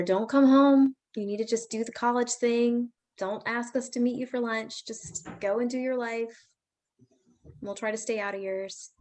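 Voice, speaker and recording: A woman's voice: medium pitch, darkish tone. A woman hosting a virtual parent information session, talking in a friendly, chatty, reassuring way over a video call. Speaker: pre-college program staff host.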